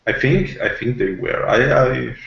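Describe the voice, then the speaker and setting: A man reviewing on a podcast in casual discussion, talking in a halting, argumentative way. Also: medium pitch, lively intonation, vocal fry, echoey room, muffled recording, noisy background.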